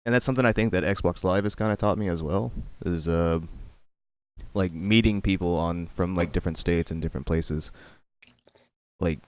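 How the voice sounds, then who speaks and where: A man's voice: deep voice, normal speed, darkish tone. A man co-hosting a podcast, explaining things patiently in casual chat, often repeating his words. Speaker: podcast co-host.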